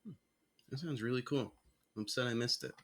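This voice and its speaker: A man's voice: mid-range voice, moderate pace, fairly bright tone. A man hosting a podcast, asking curious, easygoing questions and reacting with surprise. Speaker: podcast host. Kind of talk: casual interview chat.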